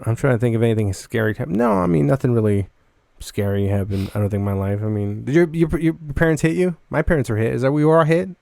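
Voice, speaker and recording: A man chatting as a podcast host, quick and gravelly, recorded dry and close. He rambles, cuts himself off and swears casually as he goes. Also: mid-range voice, dark tone, no echo. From United States. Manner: rambling, self-interrupting, casually profane.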